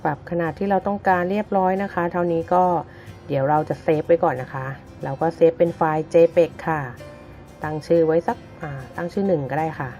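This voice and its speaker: A woman's voice: low-pitched, a little fast, mellow. A woman giving a casual step-by-step craft tutorial, talking to viewers informally like a big sister. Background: background music.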